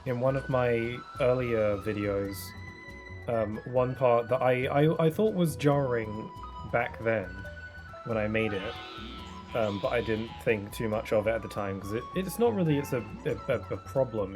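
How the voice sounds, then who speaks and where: A young man chatting casually while streaming a video game, rambling with lots of fillers and restarts. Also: mid-range voice. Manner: rambling, soft-spoken, many fillers and restarts. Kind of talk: casual chat while gaming. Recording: game music playing behind.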